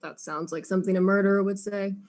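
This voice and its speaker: Casting: woman playing tough investigator, mid-pitched, dry and curt, easily exasperated, comic audio drama. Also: fairly even intonation, quick pace, darkish tone, lightly acted. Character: blunt, tough, exasperated detective questioning suspects.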